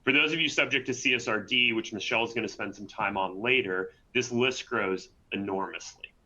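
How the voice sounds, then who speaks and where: A man with a fairly low but bright, forceful voice hosts a webinar. He talks in a conversational way and often tags on "right?". The audio is dull and lo-fi. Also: brisk. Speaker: webinar host and presenter. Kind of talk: webinar presentation.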